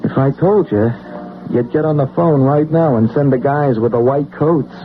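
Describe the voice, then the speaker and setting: An actor playing an anxious, obsessed working-class everyman in a radio drama, with colloquial, halting, stammering speech. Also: medium pitch, close to an everyday voice. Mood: insistent. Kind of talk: old-time radio drama acting. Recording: tinny, noisy old radio broadcast recording.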